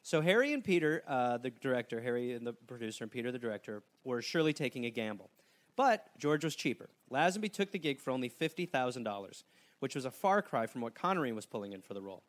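Animated comedian telling a story. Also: male voice, medium pitch, quick pace. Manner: animated, jokey, slips into silly put-on voices. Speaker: podcast host.

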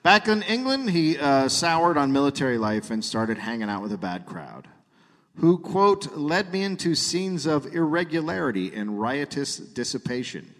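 Animated man telling stories in a reverberant hall. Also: on the high side, moderate pace. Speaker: podcast host and comedian. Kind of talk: live comedy podcast storytelling. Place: live show in a hall.

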